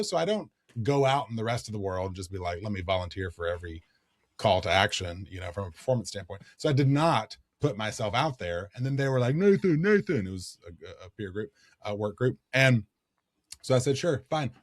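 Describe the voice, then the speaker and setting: Man, podcast co-host, slightly raspy, animated storytelling, dry close sound. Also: medium pitch, fairly quick, dry recording. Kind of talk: casual chat.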